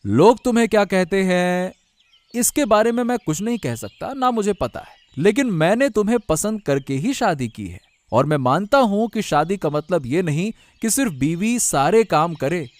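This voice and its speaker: Guy doing a devoted young cartoon husband, earnest, high voice that swings around a lot. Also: sing-song, moderate pace, darkish tone, no room sound. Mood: tender. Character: kind, devoted young husband and palace worker. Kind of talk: Hindi cartoon story voice acting.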